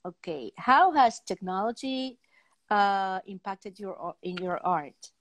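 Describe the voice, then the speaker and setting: A middle-aged woman hosting a casual interview, speaking in halting, fragmentary phrases. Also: mid-range voice, animated, dry recording. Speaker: talk show host. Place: indoor room, video call.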